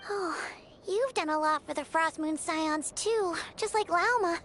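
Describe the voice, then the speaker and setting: A woman voicing a tiny, excitable, childlike fairy companion in a video game, squeaky and chirpy, full of exclamations. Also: a little slow, slight background noise. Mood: earnest, eager. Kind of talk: animated video game voice acting.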